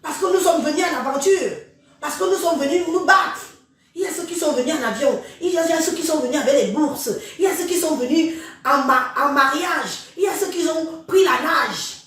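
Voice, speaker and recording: High-voiced woman ranting in an echoey room. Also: normal speed, fairly bright tone. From Cameroon. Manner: scornful, preachy, addresses listeners directly. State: has a head cold. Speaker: social media live streamer. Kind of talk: impassioned live-stream rant. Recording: very echoey room, far from mic.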